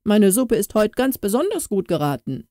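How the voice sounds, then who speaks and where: A woman voicing a vain, gruff male cook in a fairy tale, scolding and indignant. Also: medium pitch, very animated, moderate pace, warm tone, no echo, clean studio sound, natural voice. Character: gruff vain royal cook, middle-aged man.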